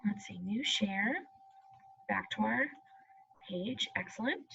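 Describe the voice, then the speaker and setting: A woman's voice: medium pitch, slow pace, creaky, bright, forceful tone, a little hiss or hum. A woman teaching young pupils, reading aloud and giving reassuring instructions with frequent checking questions, on a slightly muffled recording. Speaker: elementary school teacher.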